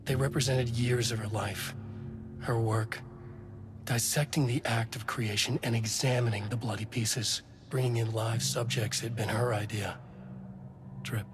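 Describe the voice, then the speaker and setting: A man playing a brooding narrator, reading dark noir prose in grave, deliberate, short dramatic phrases. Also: mid-range voice, background noise, lightly acted. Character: brooding male narrator of dark manuscript pages.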